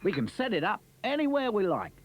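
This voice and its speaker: A bouncy Aussie kangaroo. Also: male voice, high-pitched, huge pitch swings, brisk, slight background noise, pitched above the performer's own voice. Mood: chirpy. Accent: Australian. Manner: boisterous, hearty exclamations. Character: bouncy cheery male kangaroo toy. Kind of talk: storybook character voice.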